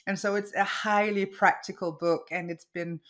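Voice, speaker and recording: A woman's voice: medium pitch, steady tone, moderate pace, a bit bright. A woman whose first language is Danish, a therapist and author talking casually as an interview guest, often laughing and repeating words.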